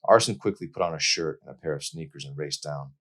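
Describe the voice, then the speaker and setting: A man's voice: very deep voice, quick pace. A man reading his own novel aloud as dramatic audiobook narration.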